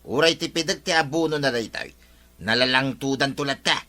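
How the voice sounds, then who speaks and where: An actor playing a proud, boastful village gardener in a comedy radio drama, with an Ilocano accent. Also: male voice, medium pitch, brisk, pushed and bright, close to an everyday voice.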